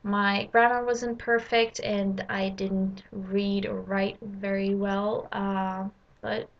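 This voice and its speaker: A dull, lo-fi home recording carries a woman. Her voice has plenty of vocal fry and sits at an ordinary female pitch, moving slowly. She talks casually as a vlogger, hesitating and pausing between short phrases. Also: flat delivery. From Sweden. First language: Swedish. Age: 20s. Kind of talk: casual personal vlog.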